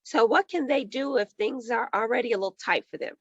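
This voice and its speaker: A hoarse woman who manages client relationships, reading out viewer questions in a friendly, conversational way. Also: fairly high pitch, fairly quick, dry recording, dull audio.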